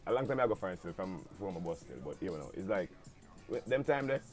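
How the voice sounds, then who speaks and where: A middle-aged Jamaican dancehall artist chatting in an interview, mixing patois with American slang. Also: male voice, sits low, very animated, brisk, faint music behind. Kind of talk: casual interview chat.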